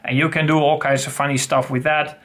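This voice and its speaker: A man's voice: a little high, flat delivery, fairly quick, a bit bright. A middle-aged man casually reviewing pocket knives on his own channel, talking in a loose, rambling way with many fillers and restarts, recorded at home on a desk webcam. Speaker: channel host. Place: home study with bookshelves.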